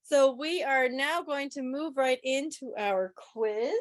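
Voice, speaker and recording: Female quizmaster, slightly high pitch, unhurried deliberate pace, playful schoolteacher manner. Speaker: quiz host. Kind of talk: club quiz hosting, reading questions aloud. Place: indoors, on a video call.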